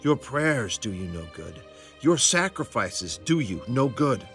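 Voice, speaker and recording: An actor playing a stern, impassioned prophet in a children's Bible audio drama, speaking in solemn, declamatory warnings. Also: male voice, mid-range voice, big pitch swings, a little slow, a bit bright, soft background music.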